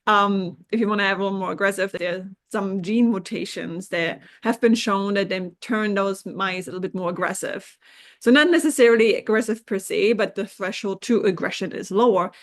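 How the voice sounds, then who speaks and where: A woman's voice: medium pitch, brisk. A woman from Germany, a scientist guest in a podcast interview, explaining research thoughtfully with hedges and restarts.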